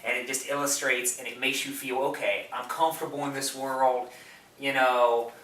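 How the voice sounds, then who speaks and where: Male podcast co-host, bright and keen, gushing in casual film chat, picked up from across a roomy space. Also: mid-range voice, fairly even intonation, normal speed. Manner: enthusiastic, gushing. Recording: roomy, distant mic, background noise.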